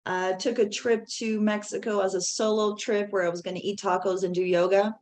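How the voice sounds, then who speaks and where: A woman, a podcast guest telling a story in a lively way and often laughing. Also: mid-range voice, brisk. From Canada (Toronto). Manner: animated, laughs often, quotes others in dialogue. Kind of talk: casual storytelling.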